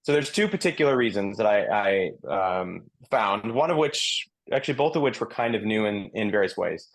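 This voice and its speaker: A man's voice: on the low side, lively intonation, normal speed, low-quality recording. A man on a podcast panel giving conversational, explanatory commentary.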